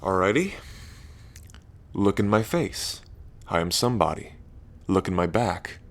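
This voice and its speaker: Man voicing a very deep, slow-talking dragon king. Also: lively intonation. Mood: playful, challenging. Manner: halting, hesitant, with slow deliberate phrasing. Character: lonely ancient male dragon king, proud yet bashful. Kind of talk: roleplay ASMR audio drama.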